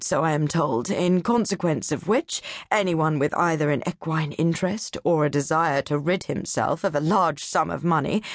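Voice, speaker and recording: A woman's voice: lowish voice, no room sound, studio quality. A woman voicing a lofty, pompous male Cambridge scholar who lectures and disapproves. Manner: condescending, pompous.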